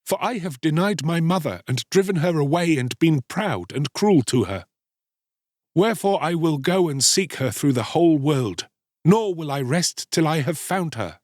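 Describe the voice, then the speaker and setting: Storybook role: proud young boy, archaic speech, raised and swooping pitch , played by a male narrator. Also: lively intonation, normal speed, no room sound, studio quality, close to an everyday voice. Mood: remorseful, earnest. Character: proud, scornful young boy, cruel then remorseful.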